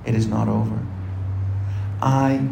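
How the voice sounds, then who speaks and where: A man preaching a sermon to his congregation, throwing rhetorical questions at them and shifting between quick asides and slow, low, weighty phrases. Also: flat delivery, gravelly, reverberant, background noise. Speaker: pastor.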